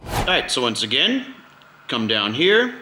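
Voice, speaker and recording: A man's voice: medium pitch, sing-song, unhurried, pushed and bright, roomy sound, slight background noise, rough recording. A man hosting a casual step-by-step tutorial in an informal, conversational way. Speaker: video host giving a drone tutorial.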